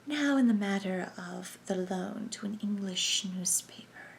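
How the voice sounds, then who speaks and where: A woman voicing a sinister male conspiracy chief who speaks in a soft, sibilant whisper with a cold, menacing manner. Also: high-pitched, unhurried, creaky, a little hiss or hum.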